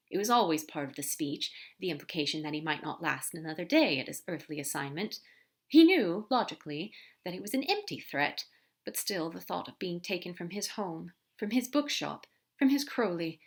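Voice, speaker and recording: Woman reading fan fiction aloud, lowish voice. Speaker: podfic reader. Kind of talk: fan fiction audiobook narration.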